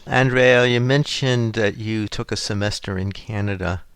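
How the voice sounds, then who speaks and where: A man interviewing a guest, asking calm, plain questions. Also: fairly low pitch, normal speed, smooth, no echo.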